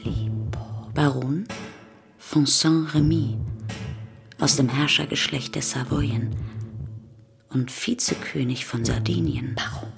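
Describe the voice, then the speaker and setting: A woman playing a grave storyteller in a radio play, narrating ominously in a breathy voice that at times drops to a whisper. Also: sits low, exaggerated voice. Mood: hushed, haunting. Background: music.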